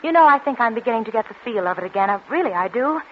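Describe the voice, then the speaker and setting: An actress playing a fragile, anxious, obedient wife, hesitant and placating, in 1940s radio style. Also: female voice, a little high, animated, very fast, natural voice. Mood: nervously bright. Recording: dull, lightly noisy vintage radio recording.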